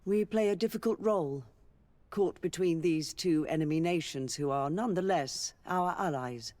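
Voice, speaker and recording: Woman playing a low-voiced, dignified noblewoman governor. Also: a little mellow, lightly acted. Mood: grave, cautious. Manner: measured, formal, articulate. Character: dignified noblewoman governor, learned, diplomatic, fascinated by islanders. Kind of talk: scripted video game dialogue.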